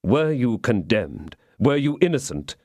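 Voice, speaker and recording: A man's voice: medium pitch, very animated, a little mellow, no echo, clean studio sound. A man reading a grave, upright soldier. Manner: grave, measured. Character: grave, upright young male soldier guard.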